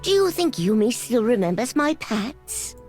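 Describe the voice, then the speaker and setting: High, lilting, kindly little grandmother. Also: big pitch swings, a little slow, faint music behind. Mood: wistful, whimsical. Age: older. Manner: gentle, doting, hospitable, quaint. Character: kindly, forgetful, whimsical grandmotherly yordle lady who loves tea. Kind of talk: video game character voice lines.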